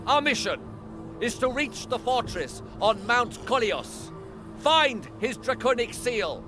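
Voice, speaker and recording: An actor playing a battle-weary knight commander in a fantasy game, with a commanding, martial way of speaking. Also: male voice, very high-pitched, exaggerated voice. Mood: rousing battle speech. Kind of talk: scripted fantasy game cutscene acting.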